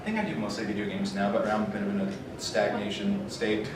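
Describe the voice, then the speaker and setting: A man's voice: fairly low pitch, a little fast. A man speaking casually and a bit self-deprecatingly as a voice actor on a convention panel. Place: convention panel room, recorded from afar. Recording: echoey noisy room, distant microphone.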